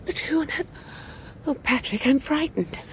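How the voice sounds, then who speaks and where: An actress in a radio detective drama playing an anxious but stubborn married woman, earnest and dramatic, with a husky, breathy voice. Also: sits high, animated, normal speed, a little hiss or hum. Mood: frightened.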